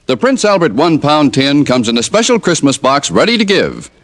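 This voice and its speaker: A man's voice: a little high, sing-song, normal speed, a bit bright. An American man reading a 1950s radio advertisement as a commercial announcer.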